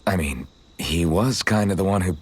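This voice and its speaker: An actor playing an easygoing, modest young swordsman with a gently teasing, casual way of talking in a video game cutscene. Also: deep voice, moderate pace, a little hiss or hum, close to an everyday voice.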